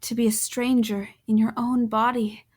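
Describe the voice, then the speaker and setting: An actress playing an earnest, bewildered woman in her twenties in an audio drama, speaking in a hesitant, deliberate manner. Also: fairly high pitch, little pitch movement, darkish tone, breathy edge. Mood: haunted, hushed. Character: bewildered, earnest young woman trapped in a stranger's body. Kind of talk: audio drama acting with first-person narration.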